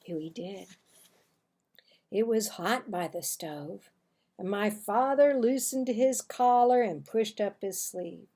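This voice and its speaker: Older woman, mellow husky voice, children's read-aloud, deliberate dramatic emphasis. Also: medium pitch. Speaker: storyteller reading a children's book aloud. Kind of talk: expressive scripted read-aloud narration. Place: small room indoors at a desk. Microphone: computer webcam microphone at arm's length.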